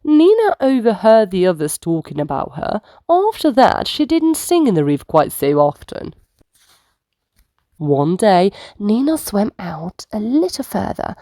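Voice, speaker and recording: Slow, animated woman storyteller. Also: mid-range voice, no room sound, clean studio sound. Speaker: children's story reader. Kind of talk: expressive bedtime storybook narration.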